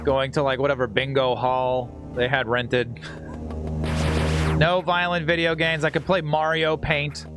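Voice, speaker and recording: A man's voice: medium pitch, little pitch movement, a little slow. A middle-aged man chatting casually while streaming a video game, playful and rambling. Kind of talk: casual live gaming commentary and chat. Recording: loud game music behind voice. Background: video game music.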